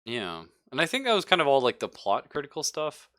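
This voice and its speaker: A man's voice: medium pitch, huge pitch swings, a little fast, bright, forceful tone, no room sound. A man casually narrating a tabletop sci-fi horror game as game master, informal and jokey.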